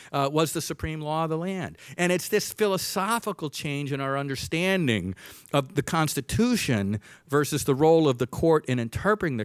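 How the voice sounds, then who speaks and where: A man delivers prepared remarks on a panel in a smooth, resonant voice. He argues his case in a measured way, with hesitant fillers between phrases. The sound is dry and close, with little room behind it. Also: medium pitch, no echo.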